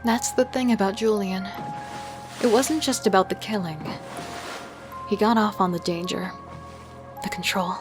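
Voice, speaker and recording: A woman playing a thrill-seeking, remorseless killer and thief, narrating in an intimate, breathy way for a horror audio drama. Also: medium pitch, fairly even intonation, a little slow, pauses often, close to an everyday voice. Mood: sultry, confident. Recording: music under the voice. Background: music.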